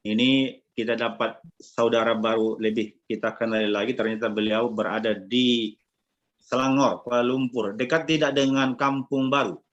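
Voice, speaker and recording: A man hosts an online gathering, polite and deliberate, and uses formal forms of address. His voice sits at a medium pitch. The call audio is dull and lo-fi. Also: fairly even intonation, a bit bright. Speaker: host. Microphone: video call.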